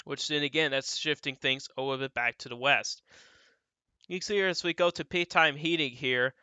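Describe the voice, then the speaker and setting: A man's voice: on the high side, sharp tone, smooth, no room sound. A young man who sounds a little stuffy and dry in the throat, talking casually through severe weather forecast models as the host of his own weather channel. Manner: loose and conversational, repeats filler phrases.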